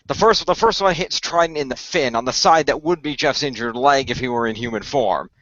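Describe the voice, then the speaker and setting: A man narrating his character in an improvised radio play, halting and repeating phrases, on a slightly dull microphone. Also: mid-range voice, fairly bright tone, dry recording.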